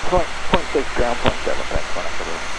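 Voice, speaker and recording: A man's voice: mid-range voice, very animated, quick pace. A man speaking as a pilot over aviation radio, giving terse position reports and readbacks. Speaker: pilot on air traffic radio. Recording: very noisy cockpit radio transmission.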